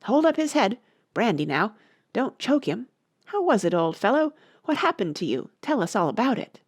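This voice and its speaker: Woman acting bustling, high-calling storybook animal workers. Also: sing-song, moderate pace, husky. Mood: excited. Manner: calling out, bickering. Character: bustling crowd of rustic male animal workers. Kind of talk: storybook character voices.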